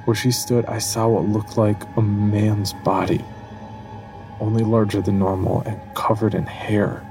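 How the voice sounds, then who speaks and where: A haunted man looking back on the terror that broke his mind. His voice is low and gravelly, delivered with grave, dramatic deliberation as first-person horror narration over faint music. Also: flat delivery, unhurried. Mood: haunted dread.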